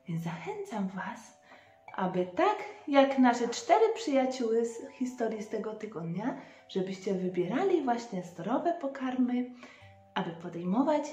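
A woman's voice: medium pitch, warm tone. A young woman presenting a children's lesson, explaining simply and prompting with questions. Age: young adult. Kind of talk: child-directed teaching. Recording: very echoey room, faint background music.

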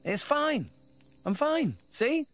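An actor as an animated, earnest teenage boy hero. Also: high voice, slow pace, full character voice. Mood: reassuring. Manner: friendly, plain-spoken, eager. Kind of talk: animated video game acting.

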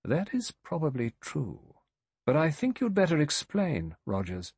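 A man voicing a dry, authoritative elderly judge in an audiobook, questioning with measured precision. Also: mid-range voice, huge pitch swings, a little mellow, husky, dry recording, voice pushed up, heavily acted. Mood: stern, probing. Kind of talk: audiobook character voice.

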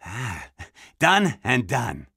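Sly, creaky slow-talker. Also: male voice, medium pitch, huge pitch swings, heavily acted. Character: sly, sleazy male computer-savvy accomplice. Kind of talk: animated drama acting.

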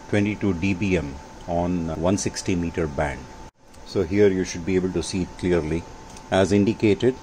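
An older man with a low-pitched voice talks through technical matters in an informal, matter-of-fact way. His delivery is measured and explanatory. The recording is made indoors in a home workshop, with faint noise behind him. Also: dark tone, poor audio. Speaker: amateur radio hobbyist presenting.

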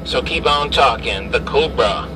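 A man's voice: medium pitch, animated, a little slow. A man chatting as a CB radio operator inside a vehicle, repeating callsigns and phrases. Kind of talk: CB radio chat. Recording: distant mic, clear vehicle cabin noise. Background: vehicle and ventilation noise.

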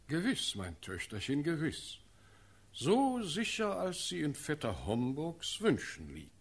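An actor playing an older, indulgent ruling prince in a classic verse radio drama, with husky, deliberate, affectionate delivery. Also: male voice, a little high, huge pitch swings, heavy background noise, heavily acted. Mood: fatherly, reassuring.

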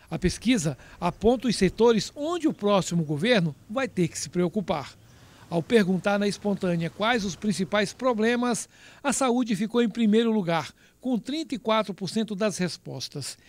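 A male TV news reporter reading a survey report in a measured voice-over, with a Brazilian Portuguese accent. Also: high-pitched, a little mellow, no room sound. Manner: measured, reads out figures crisply.

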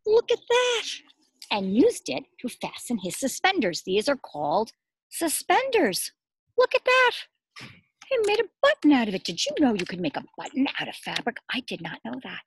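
A middle-aged woman reading a picture book aloud to young children as their teacher, expressive, asking questions and pausing for answers. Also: sits high, slightly rough, no room sound. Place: outdoors among trees and shrubs.

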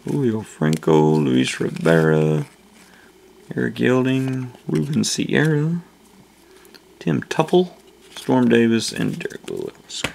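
A man hosting a casual video, slowly reading off names as he opens packs at a table indoors. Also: animated, gravelly, a little mellow, slight background noise. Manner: slow, low-key listing of names. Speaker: channel host opening card packs. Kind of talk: casual card-opening commentary.

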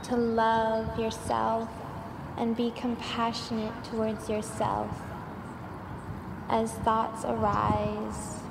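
A woman with a fairly high voice leads a soothing guided meditation in an even, barely varying tone. She speaks extremely slowly, leaving long pauses between affirmations. The recording is noisy and washed in long reverb. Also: creaky.

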